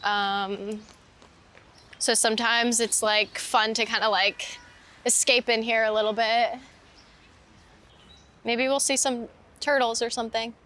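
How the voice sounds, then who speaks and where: A woman's voice: a little high, flat delivery, unhurried, long pauses, pushed and bright. A woman interviewed as a photographer, chatting casually and reflectively outdoors, meandering and saying "like" often. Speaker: interviewed street photographer and painter. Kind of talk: casual reflective chat while out walking. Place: outdoors in a city park.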